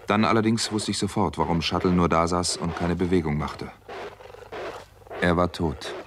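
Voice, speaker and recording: A fairly low-pitched, hard-boiled investigator played by a male actor in a 1960s radio crime play. His delivery is dry, terse and sardonic, on an old recording with light noise and clicks. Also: steady tone, normal speed, lightly acted. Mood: tense narrating. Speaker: first-person narrator and protagonist. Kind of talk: 1960s German radio crime drama acting and narration.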